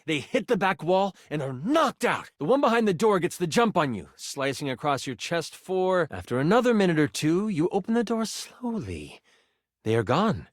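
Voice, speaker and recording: An animated game master narrating a fantasy adventure. His pitch sits a bit high and swings widely, with theatrical suspense, in a dry, close recording. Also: normal speed, no echo. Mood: suspenseful. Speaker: voice actor. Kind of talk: dramatic tabletop game narration.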